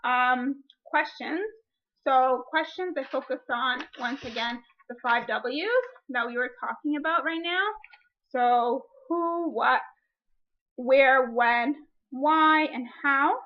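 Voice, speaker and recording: A woman's voice: sits high, slow. A woman speaking as a teacher, reading a picture book expressively and explaining reading strategies. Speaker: teacher demonstrating a read-aloud. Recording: dull lo-fi recording.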